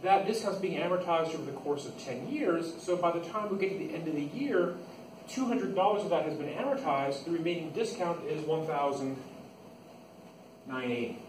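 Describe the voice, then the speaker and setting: A man's voice: on the high side, animated, moderate pace. Echoey, distant male classroom lecturer. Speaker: accounting instructor. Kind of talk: classroom lecture, explaining step by step. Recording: echoey, noisy room, distant microphone.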